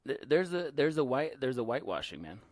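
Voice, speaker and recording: A man's voice: medium pitch, sing-song, brisk, no echo, clean studio sound. A man in casual podcast talk, agreeable and chiming in, then riffing with a laugh in his voice. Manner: agreeable, chimes in with short replies. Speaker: podcast co-host. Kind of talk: casual chat.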